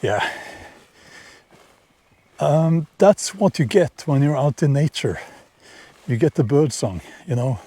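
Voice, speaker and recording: A middle-aged man with a Norwegian accent, talking casually to camera outdoors in a vlog, halting and repeating words as he shares opinions. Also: on the high side, lively intonation, dark tone, husky, no room sound. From Norway. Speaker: vlogger. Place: outdoors in nature, near horses.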